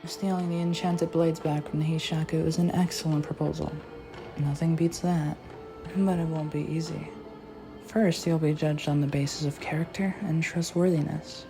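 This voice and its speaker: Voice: medium pitch, natural voice. A dubber doing a stern, formal official. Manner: firm, cautionary, formal. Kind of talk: anime fan dub acting.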